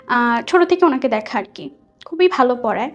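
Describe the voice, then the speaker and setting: A woman's voice: on the high side, lively intonation, fairly quick, highs cut off. A young woman with a Bangladeshi accent telling a personal story conversationally as a guest, chatty and mixing in English words. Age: young adult. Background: soft background music.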